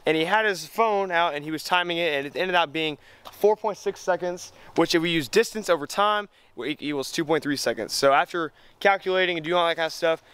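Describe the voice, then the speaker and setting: A man's voice: a little high, fairly quick, fairly bright tone, clear, resonant tone. A young man presenting a student video in a casual vlog style, outdoors in the woods. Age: young adult. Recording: noisy outdoor camera sound.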